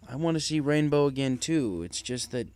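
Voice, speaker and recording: A man voicing a hesitant, worried father pony in a storybook, halting and subdued. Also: medium pitch, expressive, darkish tone, clean tone, lightly acted. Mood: worried, downcast. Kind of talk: storybook character reading.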